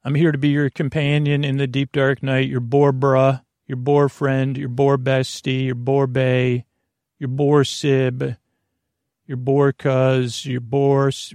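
A man with a low-pitched, creaky voice hosts a bedtime podcast, recorded close and dry. He wanders through his monologue haltingly, stumbling over words and doubling back on his own sentences. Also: a little mellow, no room sound. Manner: meandering, halting, self-interrupting with stammered restarts. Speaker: podcast host.

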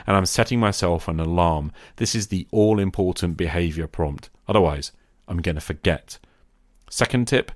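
A man's voice: sits low, no room sound, clean studio sound. A man speaking as a podcast host about tabletop roleplaying, addressing the listener directly and encouragingly.

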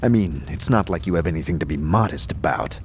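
A man playing a grim, unflappable undead wanderer in a fantasy audio drama, with dry deadpan delivery. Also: sits low, brisk, soft background music, voice pushed down. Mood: wry.

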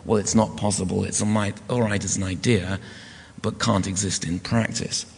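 A man with a croaky, hoarse voice giving a formal academic lecture in measured tones. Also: fairly low pitch. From United Kingdom. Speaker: guest lecturer. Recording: long hall reverb.

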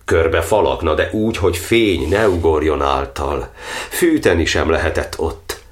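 An actor playing an old, suffering cardinal in an audio drama, declaiming a brooding verse monologue in an anguished way. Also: male voice, a bass voice, lively intonation. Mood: sombre, remembering. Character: imprisoned Hungarian cardinal, old, suffering, brooding over his martyrdom.

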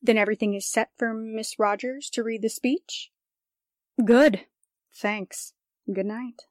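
Female studio secretary for radio play actress: fairly high voice, dry close sound, polite, efficient, caring. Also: steady tone, a little slow, dry recording, lightly acted. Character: dutiful, concerned female radio station assistant. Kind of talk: 1940s radio drama acting.

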